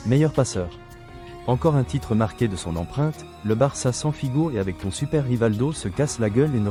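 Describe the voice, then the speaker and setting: A man's voice: mid-range voice, dark tone. A middle-aged man reading a combative, sarcastic rebuttal monologue, lecturing a younger critic. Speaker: video commentator.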